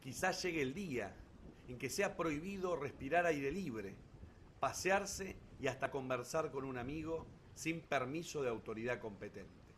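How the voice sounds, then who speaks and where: A man's voice: mid-range voice, lively intonation, normal speed, a bit bright. Male radio-theatre narrator, faint background noise.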